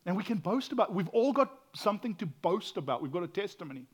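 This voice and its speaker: A man with a loud voice preaching a sermon as pastor, swinging between hushed whispers and loud exclaiming, often stammering and repeating words. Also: high-pitched, sing-song, quick pace, breathy edge.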